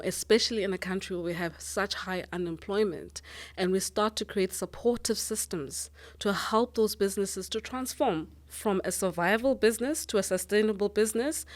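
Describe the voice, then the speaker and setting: A woman's voice: mid-range voice, normal speed. Earnest woman from Lesotho. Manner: earnest, thoughtful, with frequent ums and restarts. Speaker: guest, managing director. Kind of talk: interview answers.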